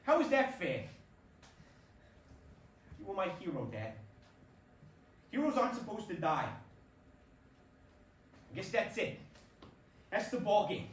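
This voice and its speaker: A man's voice: high voice, huge pitch swings, takes it slowly, pauses often, heavily acted. A man in a staged play reading playing an earnest, pleading ballplayer and expectant father grieving his dad. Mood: angry grief. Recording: roomy stage recording, distant mic, faint noise.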